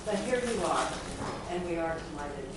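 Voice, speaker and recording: A woman's voice: low-pitched, expressive, moderate pace. A woman hosting a small group event, introducing a speaker off the cuff and prompting listeners with short tag questions. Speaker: host introducing an author. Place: indoor event room at an arts center. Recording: very echoey, noisy room, distant microphone.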